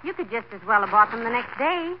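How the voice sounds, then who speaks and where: A woman playing a patient, wry wife in a 1940s radio comedy, gently chiding with sweet endearments. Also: on the high side, expressive, fast talker, close to an everyday voice. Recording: noisy muffled 1940s radio recording.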